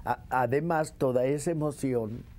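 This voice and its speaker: A man's voice: medium pitch, normal speed, darkish tone. A man, a veteran radio actor, reminiscing emphatically in an interview and repeating phrases for effect.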